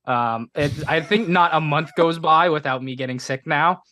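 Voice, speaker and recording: A man's voice: fairly high pitch, normal speed, bright, forceful tone, no room sound. A man co-hosting a casual podcast, a bit sniffly from a cold, rambling and laughing at himself as he reviews a movie. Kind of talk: casual chat.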